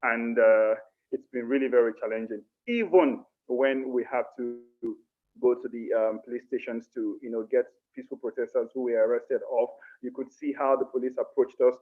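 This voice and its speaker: Male Nigerian panelist, thirties, mid-pitched, halting formal delivery through a tinny phone-call line. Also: steady tone. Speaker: panelist, activist. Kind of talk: first-hand account in a panel. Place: indoors, phone video call. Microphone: phone on a video call. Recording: thin, narrow phone-like call audio.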